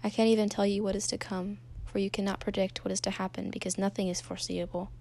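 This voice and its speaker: A woman's voice: medium pitch, little pitch movement, fast talker, vocal fry, dark tone, natural voice. A woman playing a devoted, trapped female companion in a story, earnest and reassuring. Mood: resigned.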